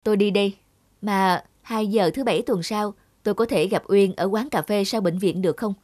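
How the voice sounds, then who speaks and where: A woman's voice: medium pitch, moderate pace, darkish tone, no echo, clean studio sound, lightly acted. A woman playing a carefree, daring young male stuntman in a cartoon, joking and nonchalant. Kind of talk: animated cartoon dialogue.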